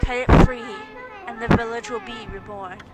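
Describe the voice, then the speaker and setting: A woman voicing a gentle female tree spirit from a video game, speaking in a formal, reverent and pleading way. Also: on the high side, sing-song, normal speed, very noisy. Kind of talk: video game cutscene voice-over, read aloud.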